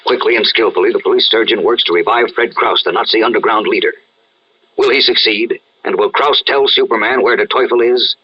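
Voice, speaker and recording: A man's voice: on the low side, brisk, husky. A man narrating a radio adventure serial in a scripted, dramatic style. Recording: dull, band-limited radio-style recording.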